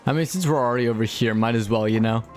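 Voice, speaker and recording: A man's voice: mid-range voice, fast, faint music behind. A man doing casual, laid-back gameplay commentary on a livestream, chatting as he plays. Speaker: game livestreamer.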